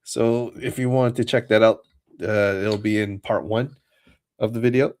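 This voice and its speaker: A man hosting a livestream card break, chatting casually, a little hoarse and nasal from a cold. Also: on the low side, normal speed. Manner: relaxed, chatty, many fillers.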